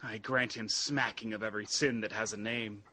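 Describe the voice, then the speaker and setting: Young exiled prince, male actor: anguished and fervent, bright forceful husky voice, halting classical stage delivery. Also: lowish voice, steady tone. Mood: self-accusing, fervent. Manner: halting, theatrical, impassioned delivery. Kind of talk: Shakespearean radio drama acting.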